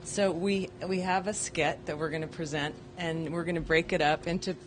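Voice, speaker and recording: Low-voiced woman presenting at a conference. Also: fairly even intonation, normal speed, noisy background. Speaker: nurse and public health trainer, narrator. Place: conference room.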